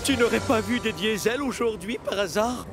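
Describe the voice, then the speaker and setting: A man's voice: sits high, normal speed. A man voicing a kind, naive and easily worried young diesel engine in a children's cartoon dub, speaking earnestly in exclamations. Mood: anxious, puzzled. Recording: music behind the voice.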